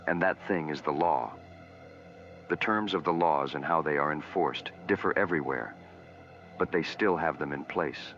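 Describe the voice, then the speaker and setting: A man's voice: a bass voice, normal speed, a bit bright, muffled recording, soft background music. A man as anthology host, giving a scripted introduction to a horror episode.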